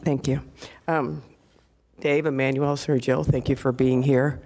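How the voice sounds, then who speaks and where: A hoarse man with a gravelly, fraying voice speaks as a commissioner at a county board meeting. He sits in a middle register and works through his questions conversationally. His voice reaches a desk microphone in the commission chamber. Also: mid-range voice, flat delivery, unhurried, darkish tone. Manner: gravelly, conversational, thinking aloud. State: hoarse, losing voice. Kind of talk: formal public meeting remarks.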